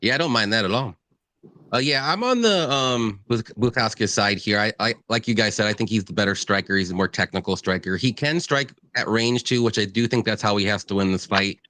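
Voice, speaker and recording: A low-pitched man hosts a relaxed betting stream. He talks in an easygoing way, full of fillers. The sound is dry and close, with no room behind him. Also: fairly quick, dry recording. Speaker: host of betting live stream. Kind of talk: casual betting chat, reading comments.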